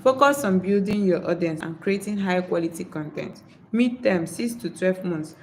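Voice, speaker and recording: A woman's voice: lowish voice, expressive, moderate pace, a little hiss or hum, rough recording. A woman from Nigeria with a Nigerian accent, English not her first language, hosting a live video of channel tips, reading points aloud and then explaining them. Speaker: YouTube creator. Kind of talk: live-stream tips talk.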